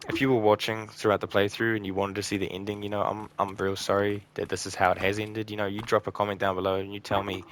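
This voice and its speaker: A weary man with a low, gravelly, croaky voice talks casually over gameplay. He sounds tired and burnt out, rambling and trailing off between thoughts. A faint hiss of noise sits under the recording. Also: level tone, fast talker, few pauses, a bit bright. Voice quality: croaky, a bit raspy. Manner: subdued, rambling, frequent ums and trailing off. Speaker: gaming channel host.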